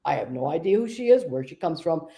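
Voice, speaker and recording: A woman hosting a conversation, a medium telling stories in a relaxed, discursive way. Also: low voice, quick pace, vocal fry. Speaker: host and trance medium. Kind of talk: casual conversation.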